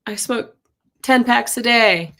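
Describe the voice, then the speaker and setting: A middle-aged woman with a hoarse, husky voice, chatting casually as host of a live tarot and astrology stream and reacting to viewer comments. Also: fairly high pitch, takes it slowly, pushed and bright. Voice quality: husky, gravelly voice. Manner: chatty, reacts to comments, coughs and clears throat. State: hoarse from losing her voice.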